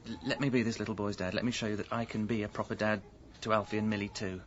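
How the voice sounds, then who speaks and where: A man's voice: on the low side, steady tone, fast, lo-fi sound, background noise, close to an everyday voice. An actor with a British accent playing a hapless, pleading husband and father who narrates his own mishaps in a radio comedy drama, stammering and earnest. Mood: hurt, pleading.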